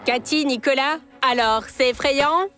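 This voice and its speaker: An eager, clever young boy, played by a woman, with a high voice who talks with confident energy as he lays out plans for his friends. Cartoon music plays behind him. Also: measured pace. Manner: bright, confident, explains plans. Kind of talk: dubbed cartoon acting.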